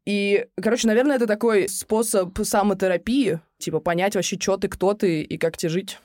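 A woman's voice: medium pitch, steady tone, fairly quick, darkish tone, no echo, clean studio sound. A young woman co-hosting a casual chat, talking in a colloquial, rambling way with plenty of slang.

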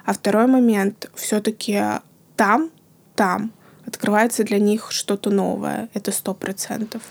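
Female podcast guest, flat delivery with vocal fry, slow and earnest, soft whispered trailing ends. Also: mid-range voice. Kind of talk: reflective podcast conversation.

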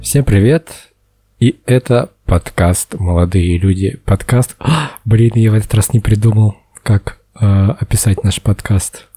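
Male co-host, 30, teasing casual banter; mellow, slightly raspy tone. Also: lowish voice, a little slow, soft background music. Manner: joking, teasing. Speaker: podcast co-host. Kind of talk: casual banter among co-hosts.

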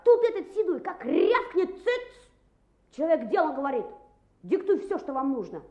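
A high, very lively schoolboy, cheeky and eager, spinning boastful tales. It is an old radio play with a muffled, roomy sound. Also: female voice, moderate pace. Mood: boastful, excited. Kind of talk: Soviet radio play acting.

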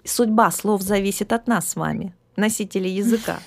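A middle-aged woman speaking as a philologist guest in a podcast interview, explaining at an even, measured pace and now and then losing her thread. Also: medium pitch, creaky. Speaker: philologist guest, department head.

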